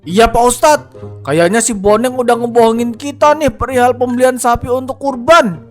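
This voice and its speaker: A man's voice: very high voice, normal speed, soft background music. A man voicing a blunt, bossy, suspicious village guard in a cartoon, full of exclamations. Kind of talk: animated cartoon dialogue.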